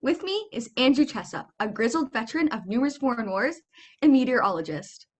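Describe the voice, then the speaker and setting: A woman's voice: fairly high pitch, animated, brisk. A young woman playing an awkward male field reporter who stalls for time in an absurd spoof radio play. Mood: awkward, stalling. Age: teens to young adult. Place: room at home, video call.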